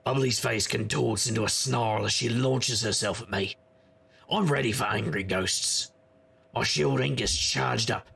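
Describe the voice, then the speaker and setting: An actor playing a jaded, wisecracking paranormal investigator, narrating an audio drama in a wry, rambling, noir style. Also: male voice, mid-range voice, unhurried, fairly bright tone, a little hiss or hum. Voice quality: gravelly. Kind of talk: scripted noir-style first-person narration.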